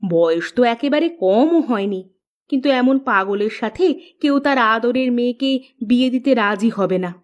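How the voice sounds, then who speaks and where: A woman's voice: fairly high pitch, expressive, moderate pace, lo-fi sound, lightly acted. A woman voicing a middle-aged village mother in a cartoon, fretful but practical and plain-spoken. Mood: questioning. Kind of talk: scripted Bengali cartoon dialogue.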